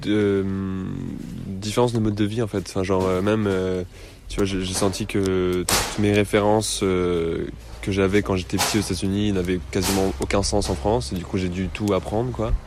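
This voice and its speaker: A young man in his twenties with a deep, low voice talks casually in an interview. He rambles a little and pauses often, backed by a faint hiss. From United States. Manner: relaxed, rambling, frequent hesitations. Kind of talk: casual conversation.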